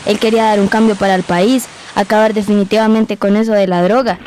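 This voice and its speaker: A woman's voice: medium pitch, fairly even intonation, fast talker, a little mellow, slight background noise, close to an everyday voice. A woman playing a working-class Colombian mother telling youngsters about the past in an amateur radio drama. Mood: earnest, sorrowful.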